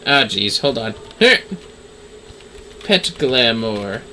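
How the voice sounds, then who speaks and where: Man, livestream gamer, casual self-talk, sharp bright voice, animated pitch, slight background noise. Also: extremely slow. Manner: talks to self while playing. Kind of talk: casual gameplay commentary, reading game text.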